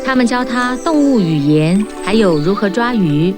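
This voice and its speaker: A woman's voice: medium pitch, animated, normal speed. A woman reading scripted narration as the storyteller of a children's tale. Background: music.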